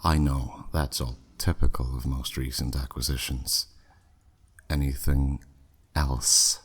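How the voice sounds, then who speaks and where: A man's voice: rumbling low, level tone, slow, slightly rough, heavily acted. A man playing a haughty, flirty, skeptical demon buyer in a scripted ASMR audio roleplay, with a sardonic, dismissive drawl. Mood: cool, skeptical.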